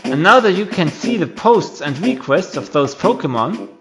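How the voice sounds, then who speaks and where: A man voicing a polite, knowing mentor creature in a game, explaining things in a measured, courteous way. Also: high-pitched, very animated. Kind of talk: amateur game character voicing. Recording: music behind the voice.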